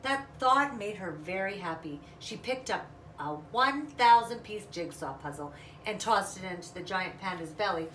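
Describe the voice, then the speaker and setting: A woman's voice: mid-range voice, expressive, normal speed, a bit bright. A woman reading a children's book aloud expressively, adding casual asides as storyteller. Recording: roomy, distant mic, faint noise.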